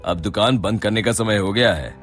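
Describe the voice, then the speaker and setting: A man's voice: fairly low pitch, normal speed, fairly bright tone, faint music behind. A man voicing a shrewd, kindly middle-aged merchant in a cartoon, theatrical and sly. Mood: commanding. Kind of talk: cartoon voice acting.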